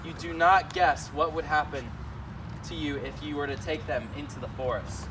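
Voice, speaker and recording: A man's voice: fairly high pitch, steady tone, moderate pace, lightly acted. A man playing a wise old wizard who guides and warns travellers in an amateur readers' theater reading. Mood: firm, warning. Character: Gandalf, wise old male wizard guiding and warning travellers. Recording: noisy room, distant microphone.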